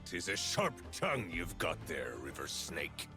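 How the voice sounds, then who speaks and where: Casting: vengeful captain, low gravelly voice, wildly swinging delivery, game music behind. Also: male voice, big pitch swings, unhurried. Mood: cold menace. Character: ruthless, vengeful, menacing male pirate captain. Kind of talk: theatrical video game voice acting.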